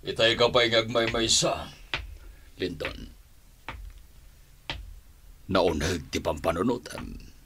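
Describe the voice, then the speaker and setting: A man's voice: lowish voice, slow, long pauses, pushed and bright, slight background noise, exaggerated voice. An actor playing a domineering, villainous father and crooked gang boss who taunts and scolds in a radio drama. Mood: taunting, menacing. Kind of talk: Ilocano radio drama acting.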